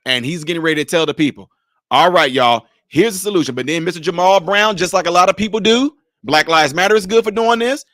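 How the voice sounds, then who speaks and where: A man with a high voice gives live-stream commentary on a clip he keeps pausing. He talks with emphatic, rhetorical energy. The sound is dry and close, with little room tone. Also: no echo. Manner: animated, emphatic, rhetorical questions. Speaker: commentator reacting to a video clip.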